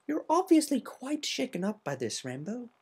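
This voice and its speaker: An elegant lady unicorn from a storybook, acted out by a woman reader. Her pitch is lifted high and moves freely. She is polite and composed, with a caring, ladylike way of phrasing things. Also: wildly swooping pitch, darkish tone, breathy edge, heavily acted. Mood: gentle, composed. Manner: refined, polite, measured phrasing.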